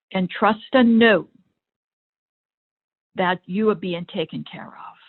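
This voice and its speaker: A woman meditation coach giving a spiritual talk in deliberate short phrases, with long pauses between them. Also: mid-range voice. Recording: thin phone-line sound.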